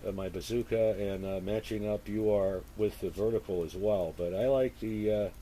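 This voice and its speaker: A man chatting casually as an amateur radio operator, halting and thinking aloud as he goes. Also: fairly low pitch, mellow, slight background noise. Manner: halting, thinking aloud, spells with phonetic alphabet. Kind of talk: casual two-way radio chat.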